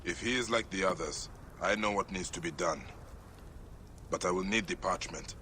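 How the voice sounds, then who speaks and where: An actor playing a weary, resolute warrior of ancient Egypt in a video game, grave, measured and dry. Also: male voice, low-pitched, bright, forceful tone, a little hiss or hum. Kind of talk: video game cutscene acting.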